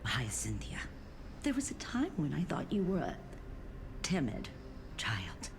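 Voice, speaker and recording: Slow, grave, tender grandmother. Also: low-pitched, huge pitch swings, background noise, heavily acted. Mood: tender, wistful. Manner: slow, halting, emotional. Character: grave, tender ancestral grandmother, newly awakened. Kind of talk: dramatic fantasy game voice acting. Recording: music behind the voice.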